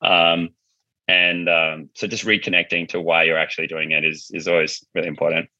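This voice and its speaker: A man's voice: deep voice, little pitch movement, fairly quick, bright, forceful tone, dry recording, highs cut off. A man speaking as an interview guest in a casual, informal way, explaining things as he thinks aloud. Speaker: podcast guest, marketing agency founder.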